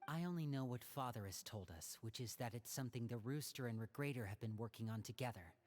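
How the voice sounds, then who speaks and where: A man's voice: mid-range voice, fast, a little hiss or hum, lightly acted. A man voicing a composed young magician. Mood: serious. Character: clever young man, a magician and an older brother. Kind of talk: video game dialogue.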